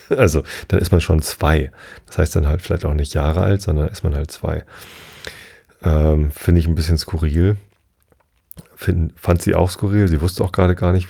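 A man in his forties talks through a relaxed solo podcast episode, wandering from point to point with plenty of fillers and restarts. His voice sits low and dark. It has a husky, gravelly edge. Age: 40s. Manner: chatty, rambling, many fillers and self-corrections. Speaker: podcast host. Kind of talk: casual solo podcast monologue.

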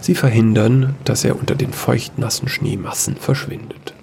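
A man reading scripted narration for a sci-fi fairy-tale audio drama in a measured, gravelly storytelling way. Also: medium pitch, fairly even intonation, darkish tone, a little hiss or hum.

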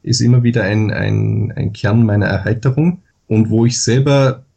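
Measured Austrian man explaining at length. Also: fairly low pitch, steady tone, creaky, dark tone, husky, dull audio. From Vorarlberg, western Austria. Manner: measured, explanatory, with many hesitations. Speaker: podcast guest, Wikipedian. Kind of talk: podcast interview.

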